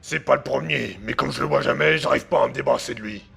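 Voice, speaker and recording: Gravelly man playing a crude young hitman. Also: medium pitch, fast talker, bright, forceful tone, faint music behind, exaggerated voice. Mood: tense. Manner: crude slang, agitated, often hesitant. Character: nervous young male hitman, crude and shifty. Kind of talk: amateur audio drama.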